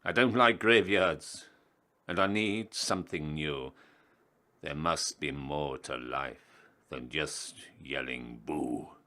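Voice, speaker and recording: A man's voice: a bass voice, slow pace, bright, forceful tone, full character voice. A man reading a tired, bored, scheming skeleton from a storybook, declaiming grandly in rhyme. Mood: weary, fed up. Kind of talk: storybook verse character reading.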